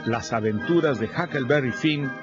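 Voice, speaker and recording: Male radio announcer over loud music. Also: mid-range voice. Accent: Peruvian Spanish. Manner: formal, measured announcing. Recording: dull lo-fi radio recording.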